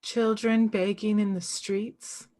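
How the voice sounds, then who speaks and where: A woman playing a morbid, solemn little gothic girl with a deadpan delivery in a comedy table read. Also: medium pitch, takes it slowly, natural voice.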